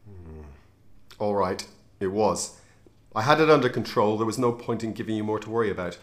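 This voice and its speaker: A man's voice: fairly low pitch, normal speed, natural voice. A man playing a cold, defiant intelligence operative in a radio drama, terse and matter-of-fact. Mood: cold, evasive. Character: cold, defiant male intelligence operative, cornered but threatening. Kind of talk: tense radio drama dialogue.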